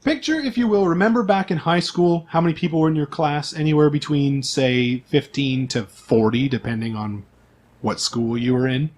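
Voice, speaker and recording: A man's voice: fairly high pitch, normal speed, darkish tone. A man acting as game master, explaining the game in a casual, joking, conversational way. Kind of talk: casual tabletop role-playing narration and explanation.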